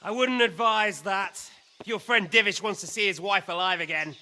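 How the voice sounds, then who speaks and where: Piercing, sardonic villain lord. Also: male voice, sits high, normal speed, sharp tone. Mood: smug, mocking. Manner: sardonic, taunting. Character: cunning, defiant villainous lord, mocking and wary. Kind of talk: video game cutscene acting, medieval drama.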